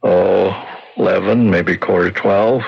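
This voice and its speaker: An actor playing a weak, wounded plumber in a radio drama, a folksy, evasive husband with a gravelly voice and halting speech. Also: male voice, sits low, fairly even intonation, exaggerated voice. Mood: folksy recounting. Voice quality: gravelly, husky. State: weak, recovering from injury. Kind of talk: 1970s radio drama acting. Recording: muffled old radio broadcast.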